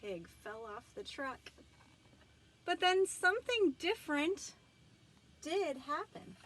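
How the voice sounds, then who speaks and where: Female children's read-aloud storyteller, very expressive and emphatic, animated intonation, faint background noise. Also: high-pitched, slow. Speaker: storybook reader.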